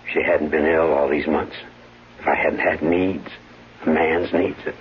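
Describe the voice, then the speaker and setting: An actor playing an evasive, anxious husband in a 1970s radio drama, earnest, hesitant and placating. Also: male voice, rumbling low, level tone, moderate pace. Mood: uneasy, guarded. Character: anxious Broadway-star husband, evasive, placating, weak-willed. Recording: dull, noisy, humming vintage radio recording.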